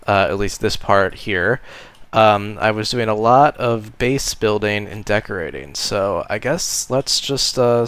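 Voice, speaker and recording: A man with a lowish, clean and resonant voice talks his way through a video game tour. He is laid-back and meandering, filling pauses with ums and uhs. The sound is dry and close, like a desk mic at home. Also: clear, resonant tone, dry recording. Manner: relaxed and rambling, many ums and uhs. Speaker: modded game player giving a base tour. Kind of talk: casual narrated gameplay tour.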